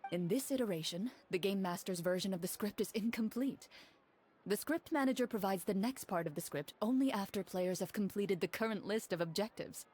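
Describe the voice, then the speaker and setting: A woman voicing a composed female duelist, speaking calmly and formally in video game dialogue. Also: medium pitch, slight background noise, natural voice. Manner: calm, measured, formal.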